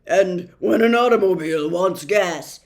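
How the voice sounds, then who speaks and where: A narrator voicing a blustering male storybook doctor, booming and emphatic, with a declamatory delivery. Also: a little slow, darkish tone, natural voice. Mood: roaring, triumphant. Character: blustering, roaring male doctor who adores noise.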